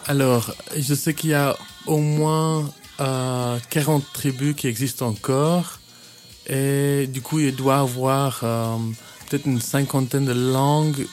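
A young man in his twenties, raised in Kenya, answers a youth radio interview at a middle pitch. He pauses often and restarts his sentences, and a faint bed of music plays under his voice. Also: fairly even intonation, a little mellow. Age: 20s. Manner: hesitant, many fillers and restarts. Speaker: youth worker, interview guest.

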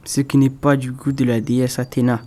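A man's voice: medium pitch, flat delivery, fairly quick, darkish tone. A teenage boy reading scripted story narration for an audio drama.

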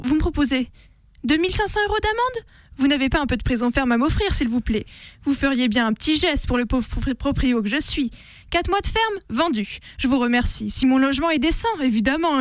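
A woman's voice: sits high, no room sound. A woman playing a smug, petty landlady in a satirical radio sketch, mock-polite and self-pitying as she makes a complaint by phone. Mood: smug, satisfied.

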